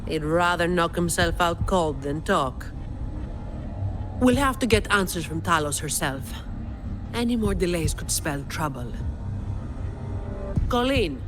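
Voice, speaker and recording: Casting: cool, shrewd female fighter for a video game, deliberate and commanding, music underneath. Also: on the low side, expressive. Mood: resolute. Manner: assertive, deliberate.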